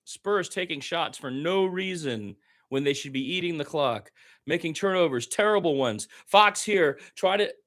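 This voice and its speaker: A man's voice: a little high, expressive, normal speed, a bit bright. A man doing live radio-style basketball play-by-play as a partisan fan, with exasperated asides about his team.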